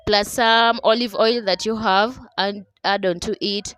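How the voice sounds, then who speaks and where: A young Kenyan woman with a Kenyan accent chats her way through a home cooking video, switching between English and her own languages. Her voice sits at a middle pitch and is recorded close and dry. She keeps checking in with the viewer and stays loose and friendly. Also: steady tone, measured pace, fairly bright tone, clean tone, no echo. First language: Dholuo. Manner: chatty, laughing, keeps asking "okay?". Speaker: cooking vlogger. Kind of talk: casual cooking voice-over. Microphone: voice-over mic.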